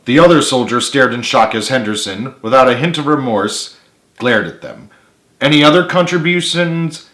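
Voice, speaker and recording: Guy reading an adventure story out loud, dramatic, average pitch, lots of room echo. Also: animated, normal speed. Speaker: author reading own book. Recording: echoey room.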